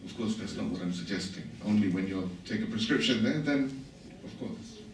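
A man's voice: fairly low pitch, expressive, normal speed. A man from England giving an informal religious lecture, explaining things conversationally with asides. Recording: echoey hall, voice from far off, faint hiss.

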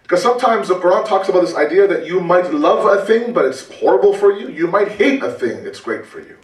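Animated male preacher in an echoey room. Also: high-pitched, fairly quick. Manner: conversational, animated, rhetorical questions, repeats for emphasis. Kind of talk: religious talk. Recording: roomy, distant mic.

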